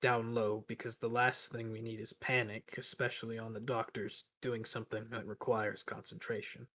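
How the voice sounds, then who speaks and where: A man's voice: on the low side, level tone, moderate pace, sharp tone, slight background noise. A man playing in a tabletop roleplaying game, improvising in character in an earnest, terse way.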